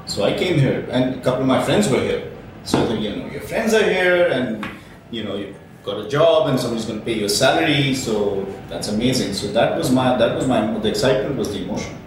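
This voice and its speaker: A man's voice: mid-range voice, animated, a little fast. Chatty middle-aged man, distant echoey sound. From Pakistan. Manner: chatty, self-deprecating, rambling. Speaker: guest. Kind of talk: casual interview talk. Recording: very echoey, distant from the mic with noise.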